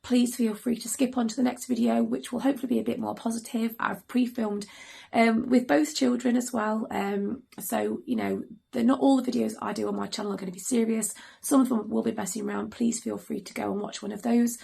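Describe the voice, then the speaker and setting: A hoarse woman in middle age speaks earnestly to her viewers with lots of pauses and ums. Her voice is heavily gravelly and deeply raspy, and it sits a little high for a woman. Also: fairly even intonation, warm tone. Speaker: mother and channel host. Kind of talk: earnest serious vlog talk to camera.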